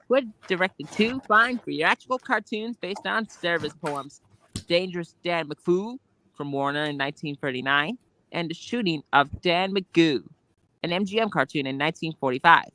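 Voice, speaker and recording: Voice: mid-range voice, moderate pace, pushed and bright, slight background noise, rough recording. A co-reader chatting casually and reading aloud from a book.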